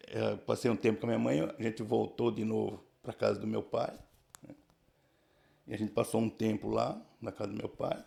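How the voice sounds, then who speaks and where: A man in his sixties from the São region of Brazil, telling his life story casually in a halting way, full of restarts and tag questions. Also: medium pitch, vocal fry, mellow. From Brazil, São Paulo region. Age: 60s. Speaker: church member giving testimony.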